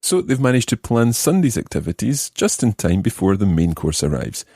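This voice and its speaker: Male podcast tutor, deep and slightly husky, lively intonation, explains phrase by phrase. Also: moderate pace, no echo, clean studio sound. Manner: clear step-by-step explaining, repeating phrases. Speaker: language lesson host. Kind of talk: scripted explanatory teaching narration.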